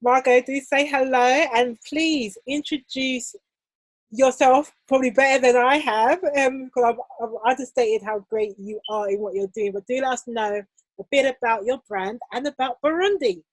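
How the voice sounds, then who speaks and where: A woman's voice: high-pitched, normal speed, no echo, rough recording. A woman hosting an online interview as a fashion business coach, talking in an upbeat, enthusiastic way with many fillers.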